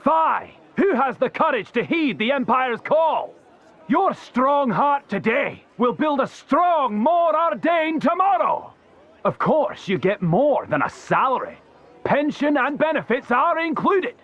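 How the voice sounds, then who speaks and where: Bombastic, high-pitched military recruiter. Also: male voice, sing-song, slow, a bit bright, muffled recording, full character voice. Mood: rousing. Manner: bombastic, declaiming, showy. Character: pompous, theatrical male imperial army recruiter, bombastic showman. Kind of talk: video game cutscene acting, public oration. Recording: music behind the voice.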